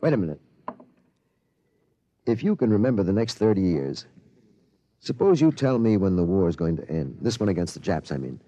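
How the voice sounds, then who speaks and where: A man's voice: low-pitched, sing-song, normal speed, pauses often, dark tone, dry recording. Bewildered father with swooping intonation. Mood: puzzled, uneasy. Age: middle-aged. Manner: hesitant, incredulous, stammering. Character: bewildered, anxious middle-aged father of a teenage boy. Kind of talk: 1950s radio drama acting. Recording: vintage radio broadcast.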